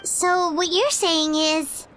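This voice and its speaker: A woman voicing a small, cheerful, childlike fairy companion in a video game, expressive and earnest. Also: very high-pitched, slow, full character voice. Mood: concerned. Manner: refers to self in third person, expressive. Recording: music behind the voice.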